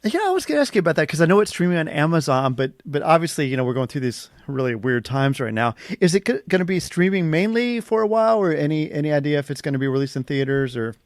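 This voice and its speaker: A man hosts a relaxed celebrity interview at a rapid clip. His voice is a little higher than average, and he gushes like an eager fan in a chatty, informal way. The sound is dry, without room echo. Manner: effusive, gushing fan enthusiasm. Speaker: interview host.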